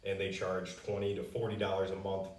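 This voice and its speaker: Company rep, male, American, low register, plain-spoken casual explainer, echoey distant pickup. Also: completely flat delivery, fairly quick. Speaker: company representative answering customer questions. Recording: roomy echo, distant microphone.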